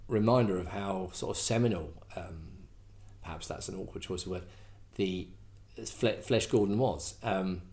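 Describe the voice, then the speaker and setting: Rambling middle-aged man, low voice. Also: measured pace, darkish tone. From England. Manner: rambling, self-deprecating, many fillers and restarts. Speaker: host. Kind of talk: casual chat.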